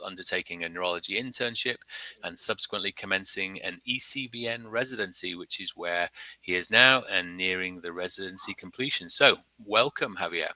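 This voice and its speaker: Deep-voiced guy hosting a webinar, crisp bright tone, friendly but a bit halting, dry sound. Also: no echo, low-quality recording. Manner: friendly, slightly halting, with fillers. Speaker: webinar host. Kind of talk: hosting and introducing a guest.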